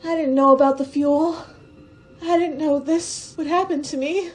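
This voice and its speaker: A woman's voice: sits high, steady tone, normal speed, faint music behind, close to an everyday voice. An actress playing a frightened, wistful young woman stowaway in a science-fiction radio drama, speaking hesitantly and with tender emotion. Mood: wistful, sad.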